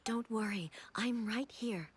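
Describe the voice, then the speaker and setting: A woman playing a calm, regal shogun in a video game, speaking in a measured, formal and composed manner. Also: a little high, a little hiss or hum, natural voice. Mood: soothing. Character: calm, solemn, regal female shogun ruler. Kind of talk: video game character acting.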